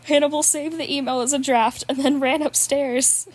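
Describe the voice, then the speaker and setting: A woman's voice: sits high, steady tone, normal speed. A woman reading fan fiction aloud, breaking into laughs as she goes. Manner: laughs at the text while reading. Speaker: podfic reader.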